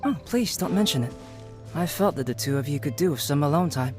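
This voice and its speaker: Man playing a calm, scholarly young alchemist. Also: on the high side, natural voice. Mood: polite. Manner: measured, polite, understated. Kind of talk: video game cutscene acting. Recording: guitar music playing behind the voice.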